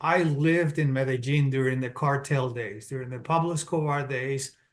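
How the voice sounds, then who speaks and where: A middle-aged man from Colombia with a Colombian Spanish accent, a guest in an interview, explaining things in a steady, businesslike way and laying out his points in order. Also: medium pitch, moderate pace. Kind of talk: interview answers, explaining step by step.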